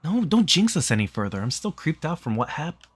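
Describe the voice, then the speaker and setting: A man's voice: fairly high pitch, very animated, fairly quick, faint music behind, pitched above the performer's own voice. A man voicing a squeamish, easily startled young woman in a visual novel read-aloud. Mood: creeped out.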